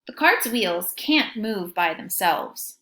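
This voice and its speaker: A woman teacher reading a picture book aloud to children in an expressive way, pausing to ask them questions. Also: mid-range voice. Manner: expressive, child-directed, often asking questions.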